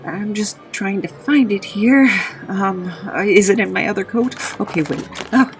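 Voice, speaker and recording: An actress playing a haunted, awkward, world-weary wanderer with a halting, hesitant delivery in an audio drama. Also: female voice, mid-range voice, natural voice. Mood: flustered.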